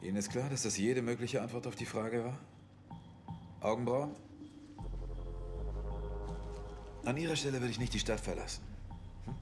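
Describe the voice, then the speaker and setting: An actor playing a dry, quirky police detective in a dubbed TV drama, questioning in a deliberate way. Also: male voice, mid-range voice, music in the background, close to an everyday voice. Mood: suspicious.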